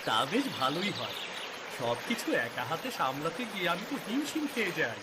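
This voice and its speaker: An actor playing a humble, nervous young cowherd in a cartoon story, with a husky, deferential voice. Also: male voice, fairly high pitch, big pitch swings, moderate pace, very noisy. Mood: humble, obliging. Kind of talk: Bengali cartoon story acting.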